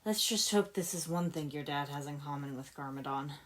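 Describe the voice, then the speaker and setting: Woman reading as a steady ninja leader, low voice. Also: fairly quick. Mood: puzzled, dry. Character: steady, practical young male ninja team leader.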